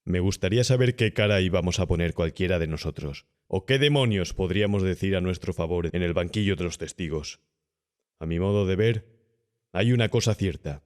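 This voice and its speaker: Horror audiobook role: low-voiced young male doctor, acted by a man, cool, unscrupulous, insolently calm and persuasive. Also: a little fast, natural voice. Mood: calm cynical persuasion. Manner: smooth, insolent, calmly persuasive. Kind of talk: audiobook character acting.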